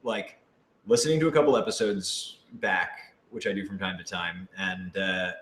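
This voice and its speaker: A man's voice: low-pitched, moderate pace, a bit bright. A man chatting casually and playfully as a cast member and writer on a panel. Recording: echoey room with background noise.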